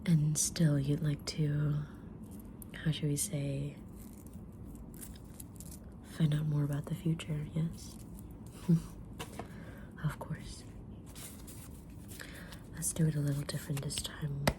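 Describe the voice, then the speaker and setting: Kindly, enigmatic witch tarot reader acted by a woman, low hushed whisper, unhurried, for ASMR roleplay, over noticeable background noise. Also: flat delivery. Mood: calm, mysterious. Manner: slow, gentle, deliberate whispering with pauses. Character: kind, mysterious witch fortune teller, patient and sincere.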